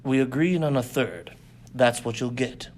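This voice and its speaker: A man plays a very large and dangerous fighter in an adventure story. He speaks in cool, terse lines with quiet defiance. Nothing seems to make him flinch. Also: mid-range voice, a little slow, close to an everyday voice. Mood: firm.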